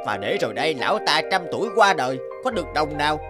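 Scornful cartoon villager, derisive tone over musical backing. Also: male voice, on the high side, sing-song, quick pace, over music. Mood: mocking. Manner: sneering. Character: scornful, mocking male villager. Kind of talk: cartoon voice acting.

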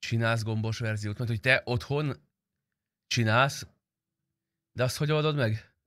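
A man's voice: medium pitch, a bit bright, no room sound. A young adult man chatting casually and slangily on a game livestream, close to the microphone. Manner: informal, slangy, swearing. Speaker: game streamer.

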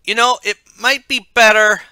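A man voicing an excitable, eager young man in a loud voice for an adventure story. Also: high voice, measured pace, clear, resonant tone, dry recording, pitched above the performer's own voice, exaggerated voice. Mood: anxious excitement.

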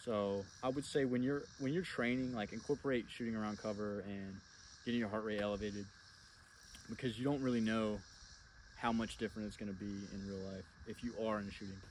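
A man's voice: fairly low pitch, fairly even intonation, a little fast, darkish tone, poor audio. Man talking casually outdoors, some background noise. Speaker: firearms instructor. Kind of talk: casual instructional talk to camera. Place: outdoors in a wooded clearing at a flat range.

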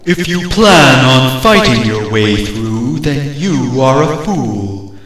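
A giant rock-creature guardian, played by a man speaks in pompous, very slow proclamations. The voice echoes heavily and sits back from the mic, like a cartoon parody of a temple boss. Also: mid-range voice, animated, fairly bright tone, far from the mic, faint music behind. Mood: threatening. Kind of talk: fan parody cartoon dub.

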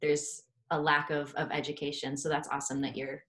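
A woman with a notably low-pitched voice hosts an interview over a video call. She asks her questions in a casual, conversational way. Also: flat delivery, fairly quick, a bit bright. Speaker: interview host. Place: small room indoors, video call. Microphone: computer mic on a video call.

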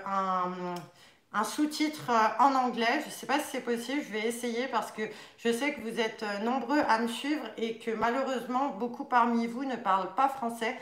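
A woman's voice: fairly high pitch, fairly bright tone. A young French-speaking woman demonstrating nunchaku models in a casual live stream from her living room, chatty and full of fillers. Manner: chatty, many fillers, demonstrating while talking. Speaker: nunchaku instructor. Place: living room at home, indoors. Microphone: camera across a table. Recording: echoey room.

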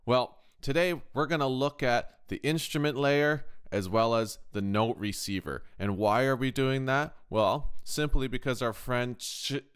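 A man hosting a casual software tutorial from a kitchen, chatty and informal, often checking in with questions. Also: mid-range voice, measured pace, few pauses, clear, resonant tone. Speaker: tutorial host, touring guitar tech.

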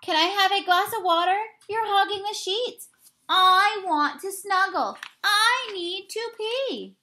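Woman voicing a high, eager little hotel mouse. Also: lively intonation, slow, bright, forceful tone, exaggerated voice. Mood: needy. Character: small, demanding, cheerfully officious hotel mouse.

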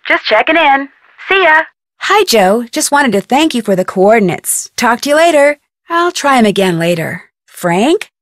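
A woman's voice: on the high side, no room sound, clean studio sound. A young woman with an American accent playing a friendly, inquisitive amateur detective in an adventure game, chatty and polite. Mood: friendly, curious. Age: young adult. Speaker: video game character voice actor. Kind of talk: scripted adventure game dialogue, phone-call delivery.

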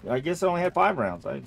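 A man giving casual let's-play commentary, thinking out loud and repeating himself as he goes. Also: high voice, gravelly, a little hiss or hum. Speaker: gamer playing and commenting on a horror game.

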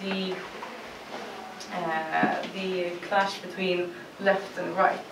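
A woman's voice: on the low side, little pitch movement, very slow, vocal fry. A woman with a Swedish accent, a panelist, speaking earnestly with many fillers. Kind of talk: panel discussion remarks. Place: seminar room with audience. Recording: distant, roomy, noisy.

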